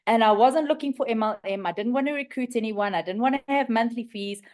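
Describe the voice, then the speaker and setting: A South African woman in her fifties speaks with a fairly high but raspy voice, worn from a recent flu. She tells her story frankly and with energy, poking fun at herself now and then. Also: brisk, darkish tone. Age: 50s. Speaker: guest sharing her story. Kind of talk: testimonial on a group call.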